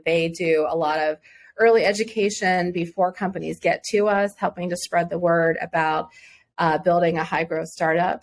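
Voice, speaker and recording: A woman with a Midwestern American accent answering interview questions as a venture fund director, thoughtful, with many fillers and restarts. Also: fairly low pitch.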